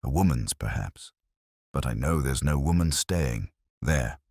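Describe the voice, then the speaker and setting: A man's voice: rumbling low, expressive, a little slow, a little mellow, no room sound, studio quality, full character voice. A man voicing a harried, deferential working-class landlady, hesitant and uneasy, in an audiobook story. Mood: anxious, insistent. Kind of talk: audiobook detective story dialogue.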